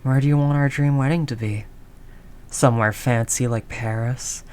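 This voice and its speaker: A woman playing a tough, doting mafia heiress girlfriend in an intimate audio roleplay, low-voiced, talking in affectionate slangy tough talk. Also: fairly even intonation, moderate pace, darkish tone. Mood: devoted, earnest.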